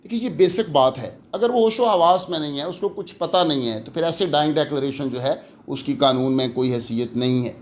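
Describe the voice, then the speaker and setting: A man with a Pakistani accent lecturing in an explanatory way, deliberate and emphatic, switching between languages as he teaches. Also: on the high side, lively intonation, a little hiss or hum. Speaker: law lecturer.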